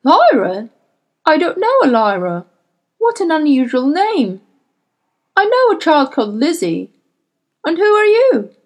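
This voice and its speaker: A woman voicing a smooth, calm, powerful wealthy gentleman collector, courteous but with a cold edge, in a storybook reading. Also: sits high, a little slow, pauses often, voice pushed up, heavily acted.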